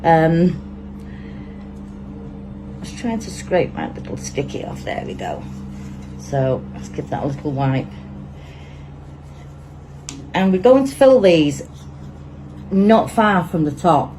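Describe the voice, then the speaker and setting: A woman with a Manchester accent and a lowish voice talks her way through a hands-on resin tutorial. She chats easily and thinks aloud as she works. Steady background noise and passing traffic sit under her voice. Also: slow pace, pauses often. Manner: chatty, thinks aloud while working. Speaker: resin crafter demonstrating.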